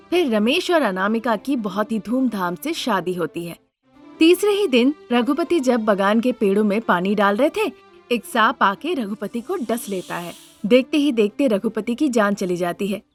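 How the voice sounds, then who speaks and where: High-voiced woman narrating a cartoon story.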